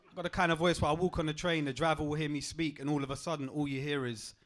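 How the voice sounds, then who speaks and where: Deep-voiced stand-up comic man. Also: level tone, fast. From England. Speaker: stand-up comedian. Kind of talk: stand-up comedy set. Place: comedy club stage.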